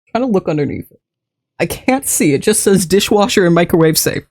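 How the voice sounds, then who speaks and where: A game streamer chatting casually with a live audience while a little sick, voice deeper than usual, rambling and laughing often as they greet and thank viewers. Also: expressive, a little fast, a little mellow.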